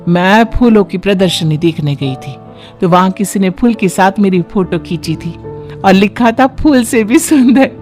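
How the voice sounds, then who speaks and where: A woman voicing a gentle, hurt young daughter-in-law, plaintive and earnest, in a Hindi family cartoon. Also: mid-range voice, lively intonation, moderate pace, faint music behind, close to an everyday voice. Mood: wistful.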